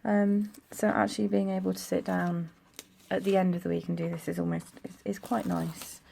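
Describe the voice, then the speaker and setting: A young woman with a croaky, gravelly voice and a lowish pitch talks in a relaxed, rambling way while she decorates her planner. She records at home, and faint traffic hum sits under her voice. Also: moderate pace. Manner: chatty, rambling, soft-spoken. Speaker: planner vlogger. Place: home room near a busy road. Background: traffic noise.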